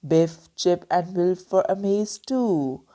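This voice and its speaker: A woman storyteller reading a children's book aloud with expressive narration. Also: lowish voice, slow pace.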